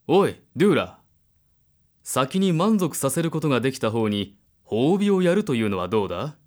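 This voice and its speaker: A man's voice: medium pitch, sing-song, moderate pace, darkish tone, lightly acted. An actor playing a contemptuous man in authority in an audio drama, with a mocking, commanding manner. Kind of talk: Japanese audio drama acting.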